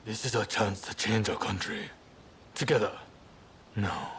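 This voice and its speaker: An actor playing a resolute, earnest samurai rebel leader in a dramatic video game cutscene. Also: male voice, on the low side, unhurried, a bit bright, a little hiss or hum. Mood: resolved, grave.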